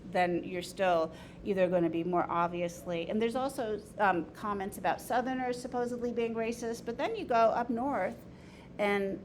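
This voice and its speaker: A woman leading an informal lecture and group discussion in an opinionated, emphatic way. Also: medium pitch. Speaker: discussion leader, teacher. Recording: noisy room recording.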